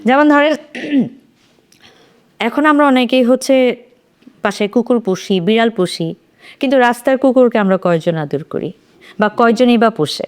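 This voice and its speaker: A woman giving thoughtful opinions in a literary discussion, explaining in short phrases with pauses. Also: on the high side, lively intonation, clear, resonant tone. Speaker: panelist.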